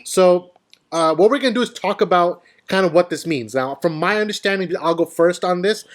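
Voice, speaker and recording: Higher-voiced man hosting a casual panel. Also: brisk. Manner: explanatory, poses questions to panel. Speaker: host of a film discussion show.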